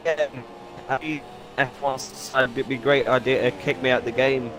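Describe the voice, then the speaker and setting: A man co-commentating a racing game league, a little raspy from a cough and hesitant with fillers. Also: mid-range voice, level tone, moderate pace. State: has a cough, slightly raspy. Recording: noisy game audio behind. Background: racing game engine noise.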